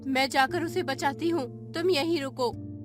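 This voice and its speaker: Woman acting female space explorer, high-pitched, determined, earnest, animated story, prominent background music. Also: unhurried. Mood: urgent, determined. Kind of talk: acted story dialogue. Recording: music behind the voice.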